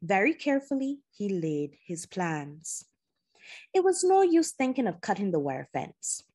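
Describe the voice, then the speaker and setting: A woman narrating a children's novel in audiobook style, with measured, deliberate phrasing and pauses. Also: mid-range voice, very animated, warm tone, breathy edge.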